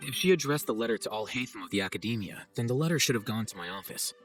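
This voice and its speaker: A man playing an arrogant young scholar in a video game, composed and deadpan, with a condescending dryness. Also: on the low side, racing, faint music behind. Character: cool, arrogant young male scholar, dry and sardonic.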